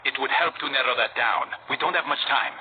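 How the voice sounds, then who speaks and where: A man playing a calm, stern, guarded assassin hero in a video game, speaking in measured, terse lines. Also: mid-range voice, close to an everyday voice. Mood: businesslike. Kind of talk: video game character acting. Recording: tinny band-limited game audio, noisy.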